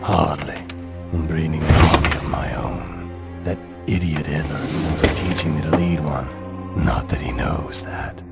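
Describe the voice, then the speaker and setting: An actor playing a sadistic, arrogant vampire overlord in a video game, pompous, sneering and menacing. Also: male voice, extremely low pitch, steady tone, measured pace, creaky, exaggerated voice. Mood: gloating, contemptuous. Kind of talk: video game villain acting. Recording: game audio under loud music.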